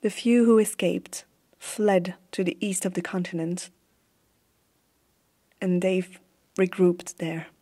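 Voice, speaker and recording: A stern evil empress of a fantasy realm, acted by a woman in an ASMR roleplay. She speaks slowly and softly, with a dark, husky voice. Every phrase is deliberate, carrying a haunted zeal. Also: fairly low pitch, exaggerated voice. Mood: grave, bitter. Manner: slow, hushed, deliberate dramatic delivery.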